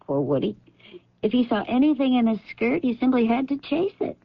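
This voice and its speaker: A woman's voice: a little high, big pitch swings, a little fast, dry recording. A woman playing a candid, rueful executive assistant answering questions in a radio drama. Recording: dull old radio recording.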